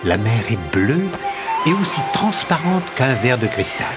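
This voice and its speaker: A man narrating an animated fairy tale in an expressive, poetic storytelling style. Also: medium pitch, a little slow. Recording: loud music behind.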